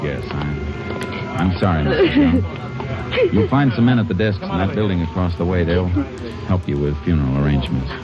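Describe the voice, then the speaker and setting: Very deep, grim Texas Ranger. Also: male voice, sing-song, a little fast. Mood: gentle, sympathetic. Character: grim, sympathetic male Texas Ranger lawman. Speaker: lead actor and narrator. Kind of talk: 1950s radio crime drama acting and narration. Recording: muffled vintage radio recording with loud music.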